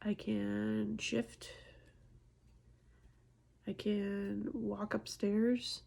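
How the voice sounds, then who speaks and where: Woman, early 30s, husky and soft-edged, for an unhurried, candid, self-deprecating vlog monologue. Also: medium pitch, flat delivery. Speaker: nurse and vlogger. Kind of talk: casual personal vlog monologue.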